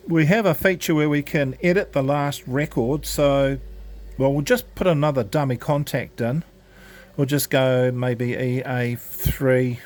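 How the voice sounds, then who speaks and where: A man's voice: mid-range voice, darkish tone. A man giving an informal, unscripted product tutorial, explaining step by step in a casual, hesitant way. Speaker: presenter of an amateur radio gadget demo.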